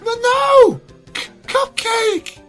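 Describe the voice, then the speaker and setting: A woman voicing an excitable, distraught pink cartoon mare in a dramatic story, wailing and pleading. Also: very high-pitched, drawn-out words, soft background music, voice pushed up, full character voice. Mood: panicked, pleading.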